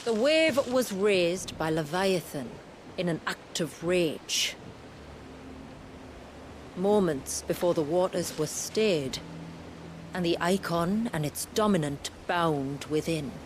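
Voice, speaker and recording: An actress voicing a confident, wry village guide in a video game, with an assured, teasing storyteller's manner. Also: female voice, on the low side, animated, takes it slowly, noisy background. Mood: earnest, pleading. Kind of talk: dramatic video game acting.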